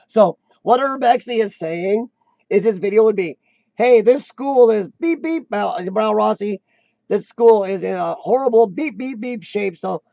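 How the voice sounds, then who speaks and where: A man hosts a casual live-stream chat, rambling and self-deprecating as he doubles back on his sentences. His voice sits fairly high with a gravelly rasp, and it is recorded dry and close with no room around it. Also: darkish tone, no room sound. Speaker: host of a live chat show.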